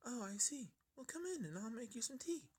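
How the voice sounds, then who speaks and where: A man voicing a gentle, timid young female pony who speaks softly and sweetly in a story reading. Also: very high-pitched, very animated, a little fast, slightly rough, voice pushed up, exaggerated voice. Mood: welcoming. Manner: soft-spoken, hesitant, sweet.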